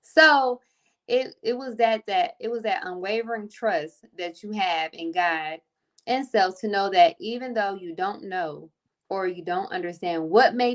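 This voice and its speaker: A woman hosting a faith podcast, chatting casually and piling on repeated welcomes and praise. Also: fairly low pitch. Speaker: podcast host.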